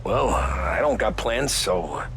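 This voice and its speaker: An actor playing a guarded, respectful newcomer to the mob, laconic and, in a video game. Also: male voice, fairly low pitch, very animated, slow, background noise, heavily acted. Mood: hesitant, agreeable. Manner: reserved, laconic. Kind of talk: cinematic video game voice acting. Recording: loud music and vehicle sound behind.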